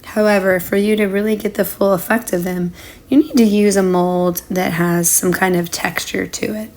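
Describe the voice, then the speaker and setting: Woman, mid-pitched, chatty craft tutorial host, voice recovering from being sick, casual narration. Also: steady tone, normal speed, a little mellow. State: under the weather, recovering lost voice.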